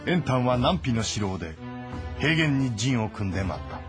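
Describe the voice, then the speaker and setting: A man giving measured, solemn scripted narration of a historical tale. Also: mid-range voice, sing-song. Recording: narration over background music.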